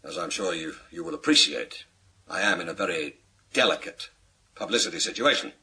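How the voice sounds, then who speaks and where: Here is a stern, pompous British police chief from a radio crime drama. His voice is low, very husky and sharp-edged, and he speaks formally, with weighty pauses, as someone obsessed with his public image. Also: expressive, exaggerated voice. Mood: weary, worried. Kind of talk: radio thriller acting.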